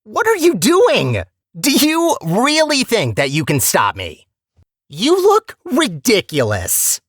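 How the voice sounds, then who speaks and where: Sarcastic, wildly animated robot villain. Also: male voice, high voice, slow pace, no room sound, clean studio sound, exaggerated voice. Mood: mocking, scornful. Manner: sarcastic, theatrical, condescending. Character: talkative male robot personality core, petty, sarcastic, scheming villain. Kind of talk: video game character acting.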